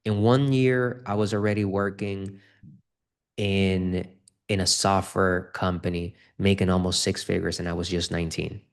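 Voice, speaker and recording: A man speaks in a very low voice. He answers interview questions earnestly, often repeating his opening words before going on. His tone is conversational. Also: fairly even intonation, normal speed, vocal fry. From Dominican Republic. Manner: earnest, repeats words when starting sentences. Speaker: guest, real estate investor.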